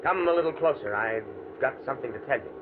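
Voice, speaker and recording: An actor playing an anxious, earnest professor in a 1940s radio thriller. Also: male voice, mid-range voice, lively intonation, quick pace, close to an everyday voice. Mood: grim. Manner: earnest, nervous. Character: anxious, tense professor caught among gangsters. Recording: very noisy, tinny 1940s radio recording.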